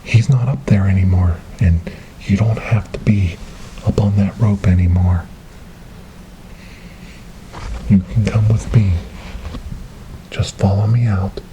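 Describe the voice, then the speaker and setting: A man playing a gentle, devout rescuer in an ASMR storytelling roleplay, speaking softly and breathily in a soothing, reassuring way. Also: lowish voice, steady tone, unhurried, slight background noise, natural voice, low-quality recording. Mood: gentle coaxing. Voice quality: soft, breathy, whispery. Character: gentle, devout male rescuer coaxing a lost ghost girl.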